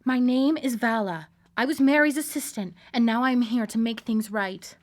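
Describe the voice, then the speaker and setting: A woman acting a betrayed lab assistant back from death in a radio drama, deliberate, theatrical and coldly vengeful. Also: on the high side, close to an everyday voice. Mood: calm, persuasive.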